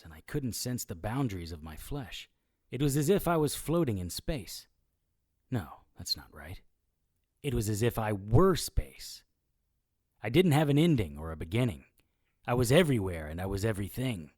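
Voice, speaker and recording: A wry immortal detective narrating his own supernatural audio drama. His voice sits low and swings expressively, recorded close and dry, with a dramatic, self-mocking edge. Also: sing-song, normal speed, darkish tone, no echo. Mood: brooding, puzzled. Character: wry immortal male occult investigator, noir narrator. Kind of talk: scripted first-person audio drama narration.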